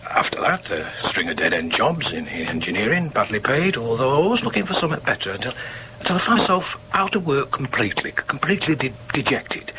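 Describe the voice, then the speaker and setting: An actor playing an earnest, wary middle-aged communist trade unionist father with a Northern English accent, halting and plain-spoken, in a naturalistic radio drama. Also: mid-range voice, background noise. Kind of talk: naturalistic radio drama acting, dialogue and inner thoughts.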